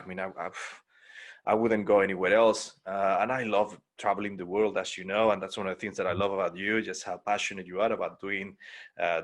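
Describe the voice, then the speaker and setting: A middle-aged man from Spain with a Spanish accent, talking in a lively, animated way on a podcast from his home, with many restarts. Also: fairly low pitch. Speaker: podcast co-host, property investor. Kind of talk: casual video-call interview. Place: home room by a window. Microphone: studio mic on boom arm.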